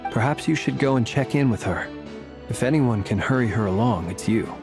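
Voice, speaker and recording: A courteous, wry schemer, played by a man, choosing his words with formal care. His voice sits in a plain middle register. Soft game music runs behind him. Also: medium pitch, expressive, brisk, close to an everyday voice. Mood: suave. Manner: formal and smoothly polite. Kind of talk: video game dialogue.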